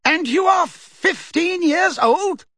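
A man reading aloud, voicing a stern older female judge who booms her questions slowly and emphatically. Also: lively intonation, sharp tone, dry recording, clean studio sound, heavily acted. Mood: probing. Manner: booming, slow and emphatic.

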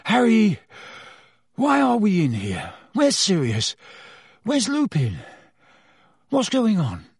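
A man's voice: high-pitched, huge pitch swings, slow, slightly rough, dry recording, pitched above the performer's own voice, heavily acted. Man playing a groggy teenage boy, groaning, bewildered, dry close read.

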